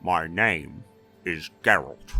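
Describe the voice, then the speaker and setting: A man voicing a stoic, dangerous monster hunter with a resounding voice, calm and terse, in a fantasy audiobook. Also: low-pitched, takes it slowly, vocal fry, soft background music, exaggerated voice. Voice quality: resounding, metallic. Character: stoic, dangerous male monster-hunting stranger.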